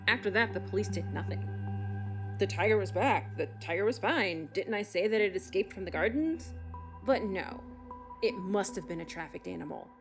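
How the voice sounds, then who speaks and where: A middle-aged woman played by an actress who works as a zoo animal doctor, exasperated and no-nonsense. Her voice sits at an ordinary pitch, and she speaks emphatically with dramatic pauses, as in an audio drama interview. Music plays underneath. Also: lively intonation, lightly acted. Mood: agitated, incredulous. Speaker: expert interviewee.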